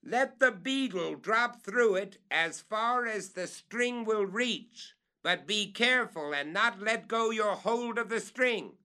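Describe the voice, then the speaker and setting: A man voicing an excited treasure hunter who shouts orders up a tree in a raised, high voice. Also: slow, hardly any pauses, exaggerated voice. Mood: excited, commanding.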